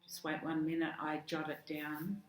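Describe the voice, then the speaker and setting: A woman with an Australian accent who is losing her voice, giving a chatty tarot card reading with soft laughs and fillers. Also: low voice, monotone, moderate pace. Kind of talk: casual direct-to-camera tarot reading. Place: home room with garden work outside. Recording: echoey room, faint outdoor garden work noise.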